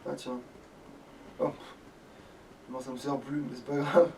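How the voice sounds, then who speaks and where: A man's voice: medium pitch, little pitch movement, a little slow, a little mellow. A man giving casual, sweary, grumbling commentary while playing a video game. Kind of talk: casual let's play commentary. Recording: distant mic, faint background noise.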